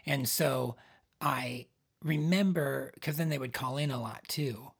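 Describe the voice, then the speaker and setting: A middle-aged man co-hosting a podcast in casual chat, with a voice he calls a bit high, rambling with many restarts. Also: measured pace, gravelly, husky. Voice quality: says his voice is on the high side. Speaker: podcast co-host.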